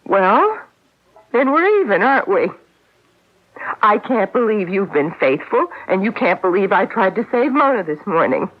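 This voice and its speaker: An actress playing an anxious, defensive wife in emotive 1970s radio drama style. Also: female voice, mid-range voice, sing-song, normal speed. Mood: bitter, wounded. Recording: dull lo-fi 1970s radio broadcast.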